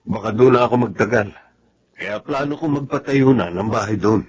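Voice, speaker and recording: An actor playing an eager, devoted young soldier husband in a Filipino radio drama. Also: male voice, lowish voice, brisk, husky. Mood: tender, hopeful. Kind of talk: Filipino radio soap opera acting.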